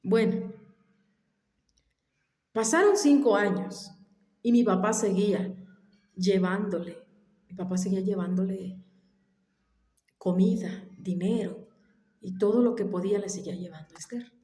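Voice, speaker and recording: A woman telling a family story in a casual, unhurried way, halting now and then and dropping into soft whispered asides. She mentions she has allergies. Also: medium pitch. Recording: echoey room.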